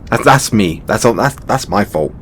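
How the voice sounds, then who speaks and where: A man playing an affectionate, stressed god and boyfriend in an intimate audio roleplay, speaking in a halting, softly playful way. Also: fairly low pitch, lively intonation, slight background noise. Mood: weary, exasperated.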